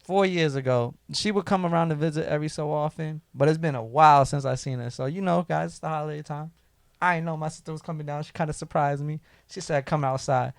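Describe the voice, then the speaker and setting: A man hosting a casual podcast, hoarse from losing his voice and talking in a laid-back, slangy way. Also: fairly high pitch, quick pace, dry recording, studio quality. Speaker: podcast host. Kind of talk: casual chat.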